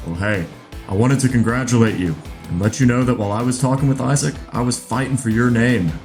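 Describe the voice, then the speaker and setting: An actor playing an earnest, supportive colleague confiding in someone in a naturalistic audio drama. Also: male voice, mid-range voice, fairly quick, darkish tone, natural voice. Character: earnest, supportive male colleague in private chat. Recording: prominent music behind dialogue.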